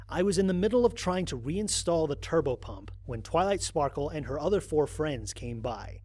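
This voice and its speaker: A man playing a wry, grumbling human astronaut who records mission logs in an audio drama, speaking in a conversational, expressive way. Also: on the high side, husky. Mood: reflective. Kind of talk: dramatized first-person log narration.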